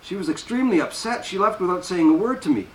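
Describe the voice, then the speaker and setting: Man reading a stern old nun, steady and firm, echoey, bit of hiss. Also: sits high, pitched above the performer's own voice. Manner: measured, firm. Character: calm older prioress, woman, stern and devout. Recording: roomy, distant mic, faint noise.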